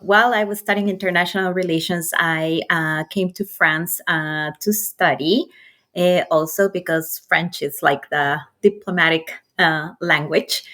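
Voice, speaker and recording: A middle-aged woman from Ecuador with a Spanish accent tells her career story casually and animatedly as an interview guest. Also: lowish voice. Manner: animated, chuckles often between phrases. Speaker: interview guest, nonprofit marketing executive.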